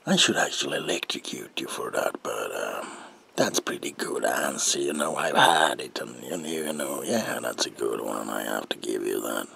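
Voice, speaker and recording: A man's voice: rumbling low, expressive, moderate pace, sharp tone, heavily acted. A man playing a gleefully deranged comic-book villain in a whispered ASMR roleplay, with a theatrical drawl and playful menace. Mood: sly, teasing. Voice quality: breathy gravelly whisper.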